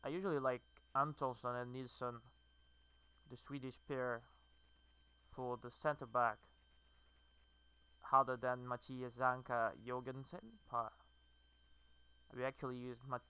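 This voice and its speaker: Clear, bright-voiced Brazilian man. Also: medium pitch, little pitch movement, a little slow, pauses often, no room sound, rough recording. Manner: hesitant, fillers, non-native phrasing. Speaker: gamer. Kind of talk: game commentary.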